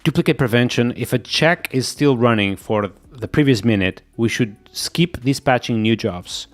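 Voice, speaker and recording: A man with a Portuguese accent, a native Portuguese speaker, streaming live as he codes. He thinks aloud, muttering to himself and repeating quick agreements as he reads, and he sounds sick. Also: medium pitch. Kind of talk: thinking aloud while coding, chatting with viewers.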